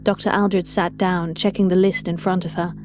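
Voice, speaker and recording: A woman's voice: fairly low pitch, little pitch movement, normal speed, darkish tone. A woman reading scripted audio fiction as a story narrator, with music behind her.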